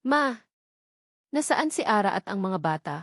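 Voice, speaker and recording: A woman's voice: medium pitch, big pitch swings, a little slow, no room sound, studio quality. A Filipino woman narrator voicing a desperate, determined grown son and father, urgent and demanding, in a dramatic story.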